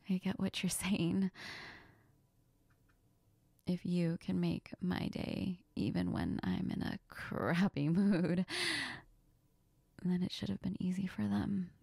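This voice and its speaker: A woman playing a heartbroken, self-doubting young best friend in an intimate audio role-play, tearful and sniffling, speaking haltingly in a near whisper. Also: mid-range voice, creaky, warm tone, husky, no echo, heavily acted. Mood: grudgingly comforted.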